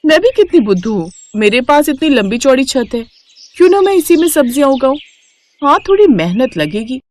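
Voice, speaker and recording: A woman's voice: sits high, sing-song, brisk, a little mellow, voice pushed up. A woman voicing a thrifty, polite young daughter-in-law in a cartoon story. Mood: excited. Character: thrifty, hard-working young daughter-in-law, earnest and kind.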